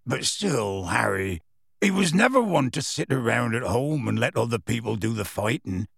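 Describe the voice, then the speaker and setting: A man voicing a gruff, kindly giant groundskeeper in a storybook reading, with halting, folksy, colloquial speech. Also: medium pitch, lively intonation, no echo, clean studio sound. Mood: soft, sombre.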